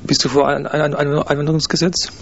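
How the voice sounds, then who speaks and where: Flat-voiced male interviewer on dull tape. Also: a little high, fairly quick, gravelly. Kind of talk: interview questions. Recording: dull lo-fi recording with faint noise.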